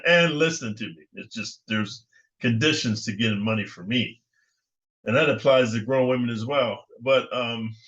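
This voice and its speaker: A man's voice: mid-range voice, sharp tone. An older man hosting his own channel, talking in an assertive, rambling way with lots of fillers and restarts. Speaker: YouTube channel host. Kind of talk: casual commentary monologue. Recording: muffled lo-fi recording.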